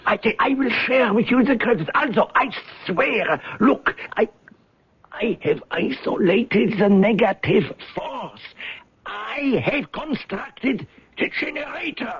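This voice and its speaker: A doddering old scientist, very high-pitched and badly husky. He stammers and repeats himself in a swooping, quavering voice, vain and desperate. It sounds like a dull old radio drama broadcast. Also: expressive, slow pace, exaggerated voice. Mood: pleading. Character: vain, muddled, desperate old German physicist, male. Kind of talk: 1970s radio drama acting.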